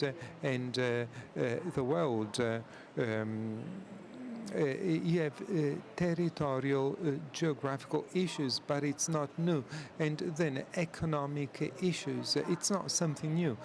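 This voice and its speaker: Halting male conference interpreter. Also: mid-range voice, gravelly, crowd noise. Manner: halting, frequent filler sounds. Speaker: simultaneous interpreter.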